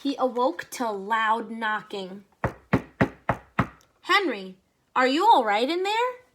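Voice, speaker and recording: A woman teacher reading a picture book aloud to children, with expressive pacing and short explanatory asides. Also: fairly high pitch, takes it slowly, very noisy.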